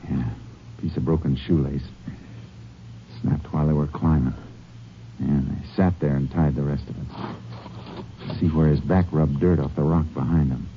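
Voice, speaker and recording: Brief: a level-headed lawman for a 1950s radio western, very deep, clipped, dull old broadcast sound. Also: measured pace, pauses often, a little hiss or hum. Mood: calm. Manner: calm, clipped, matter-of-fact. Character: steady, shrewd male Texas Ranger tracker. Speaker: Texas Ranger and narrator. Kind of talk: 1950s radio drama acting.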